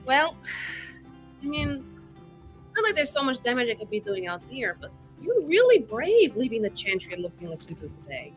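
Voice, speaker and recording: A woman's voice: fairly high pitch, very animated, normal speed, faint music behind. A woman playing a cheeky, catty young woman in a tabletop game, with casual, sarcastic delivery. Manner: casual, filler-laden, sarcastic. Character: cheeky, catty young woman, falsely sweet, needling a rival.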